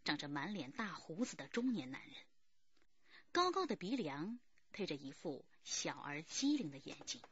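A woman's voice: deep voice, very animated, moderate pace, fairly bright tone, husky, no echo, lo-fi sound, exaggerated voice. A woman reading scripted dramatic narration for a radio drama.

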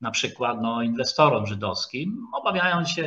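A man lectures over a video call in a medium-pitched voice. He talks haltingly, often stretching filler sounds as he searches for the next phrase. The audio is dull and lo-fi. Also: sing-song, pushed and bright. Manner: halting, hesitant, with frequent drawn-out filler sounds. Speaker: lecturer, historian. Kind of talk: online lecture with slides.

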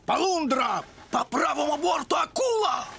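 An actor playing a gruff but kindly old grandfather dog, an old sea captain, in a cartoon, with a gravelly voice and music underneath. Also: extremely high pitch, expressive, normal speed, a bit bright, a little hiss or hum, full character voice. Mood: hearty, commanding. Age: older. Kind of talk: animated cartoon acting.

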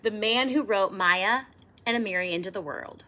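A middle-aged woman reading a children's picture book aloud expressively, with theatrical emphasis and drawn-out words. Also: mid-range voice, pushed and bright. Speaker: storybook reader. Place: small indoor room with bookshelves.

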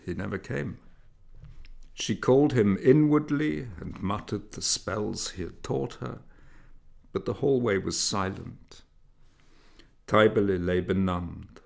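A man narrating a literary story in a measured, dramatic storytelling manner. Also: fairly low pitch, creaky. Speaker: audiobook narrator.

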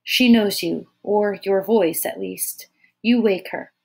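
A woman voicing a gentle, thoughtful boy in an audiobook, with a soft, earnest way of talking. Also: mid-range voice, takes it slowly, vocal fry, husky, exaggerated voice. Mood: hushed, watchful. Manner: gentle, murmuring. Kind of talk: audiobook character voice.

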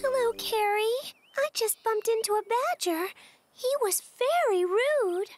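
A woman voicing a small, sweet young animal in a children's cartoon, with an earnest, gentle, childlike manner. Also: extremely high pitch, lively intonation, measured pace. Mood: cheerful.

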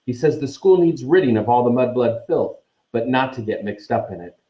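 An arrogant, sneering schoolboy bully from a storybook, read by a man. He is haughty and disdainful, with a soft-edged mellow tone in a roomy recording. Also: mid-range voice, animated, moderate pace, voice pushed up, natural voice. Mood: scornful, boastful.

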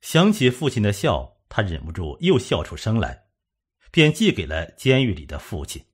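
Husky, low-voiced male audiobook narrator. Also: huge pitch swings, normal speed. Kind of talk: scripted suspense audiobook narration.

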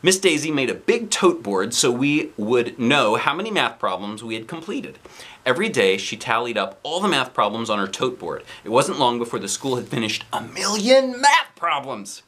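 Animated, bright-voiced man reading to children. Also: medium pitch, moderate pace. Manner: expressive, playful, exaggerated delivery. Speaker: children's book reader. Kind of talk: animated read-aloud of a children's story, with asides to young viewers. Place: indoors, seated on a couch.

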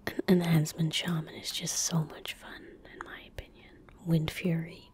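A woman in her twenties speaking in a breathy, gentle whisper close to the mic, giving unhurried ASMR commentary as she plays a game. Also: sits low, monotone. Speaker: ASMR gaming streamer. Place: indoor room at a desk. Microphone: close desk microphone.

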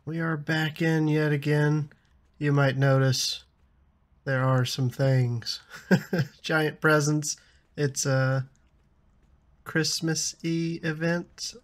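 A man in his thirties with a slightly raspy voice from a lingering cough, chatting casually over a building game and laughing often. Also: on the high side, steady tone, extremely slow, long pauses. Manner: chatty, laughs often, frequent ums and restarts. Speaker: gaming YouTuber. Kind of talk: casual gameplay commentary.

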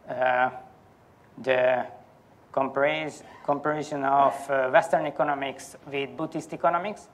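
A man in his thirties giving a formal academic presentation in a halting, deliberate manner. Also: on the high side. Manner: halting, careful, deliberate delivery. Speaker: academic conference speaker. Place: indoor university lecture hall.

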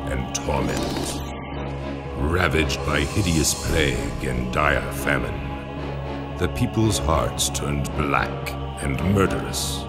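An actor playing a solemn, measured male narrator of a dark gothic tale in a game prologue. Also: very deep voice, full character voice. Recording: loud music under the voice.

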